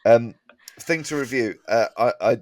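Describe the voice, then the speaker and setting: A man telling comic anecdotes as a podcast guest in a halting, rambling way. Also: medium pitch, darkish tone, no echo.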